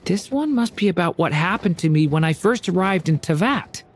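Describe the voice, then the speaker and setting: A woman voicing a gentle, curious young dragon boy in a video game, soft and earnest. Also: on the low side, lively intonation, moderate pace, a little hiss or hum, close to an everyday voice. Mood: thoughtful. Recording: game audio with soft music.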